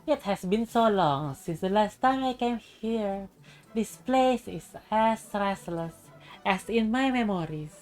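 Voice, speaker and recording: A woman's voice: mid-range voice, expressive, takes it slowly, a little mellow, faint music behind. A woman voicing a determined young princess in a visual novel, composed, courteous and formal. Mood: wistful.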